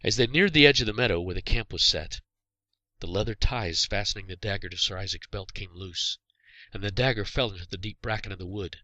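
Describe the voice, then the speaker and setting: A man narrating a scripted storybook tale as its author, in a dramatic, expressive way. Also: fairly low pitch, a little fast, dry recording. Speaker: author reading own story.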